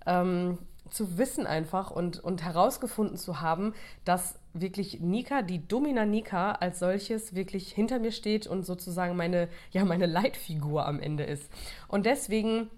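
A woman's voice: fairly low pitch, normal speed, darkish tone. A woman with a cold and short of breath, talking in a casual, rambling monologue with frequent restarts and fillers. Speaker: course creator and podcast host.

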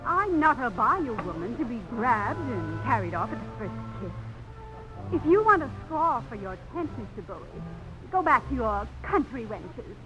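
A theatrical young society belle. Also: female voice, fairly high pitch, very animated, normal speed, poor audio. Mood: haughty, cutting. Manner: theatrical, coquettish, haughty. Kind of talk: 1950s radio drama acting. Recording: old radio broadcast with music.

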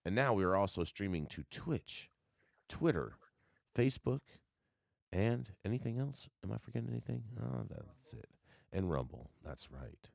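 A man's voice: fairly low pitch, very animated, normal speed, vocal fry, dry recording. A man co-hosting a news talk show, opening it with a playful, hype-man introduction. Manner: playful, hype-man delivery with big swings. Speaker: co-host.

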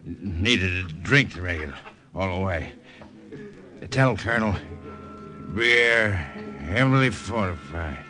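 An actor playing a wounded, drunken army lieutenant who speaks in slurred, halting bursts in a 1940s radio drama. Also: male voice, sits low, lively intonation, pushed and bright, heavily acted. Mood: faltering. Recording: faint music under voice.

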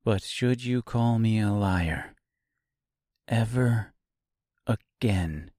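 Man acting wicked witch girl, storybook villain, very slow, precise, calm menace, dry close sound. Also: lowish voice, no room sound. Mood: cold, menacing.

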